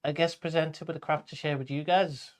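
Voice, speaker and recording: Halting male show co-host. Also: fairly high pitch, fairly even intonation. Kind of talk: casual live show presenting.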